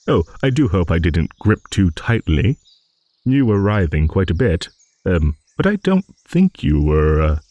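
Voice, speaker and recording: Man voicing a deep, unhurried old dragon. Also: no room sound. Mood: amused, fond. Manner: rambling, hesitant, chuckling old-gentleman musing. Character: ancient genial rambling male dragon, refined and fond of reminiscing. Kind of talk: improvised fantasy audio roleplay monologue.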